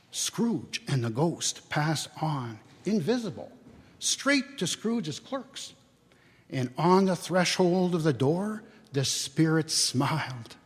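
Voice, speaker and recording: Older man reading a tale aloud slowly. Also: a little high, breathy edge. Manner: slow, expressive storytelling. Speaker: dramatic reader. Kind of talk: dramatic reading of a classic novel. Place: church. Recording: long church reverb.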